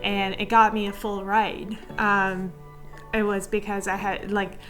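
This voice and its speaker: A young woman from Iran, Armenian by first language, speaking English in a relaxed interview. She talks in a chatty way, dropping "like" and restarting sentences as she goes. Her pitch sits right in the middle for a woman. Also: unhurried, faint music behind, low-quality recording. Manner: chatty, with frequent "like" fillers and restarts. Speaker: interviewee, structural engineer. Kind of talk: casual interview storytelling.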